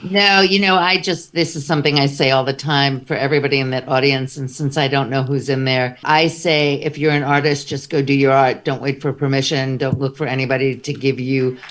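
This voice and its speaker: A woman with a low voice speaks as a filmmaker on a stage panel. She sounds earnest and encouraging. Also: fairly even intonation, fairly quick, hardly any pauses. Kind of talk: panel discussion. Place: theatre stage.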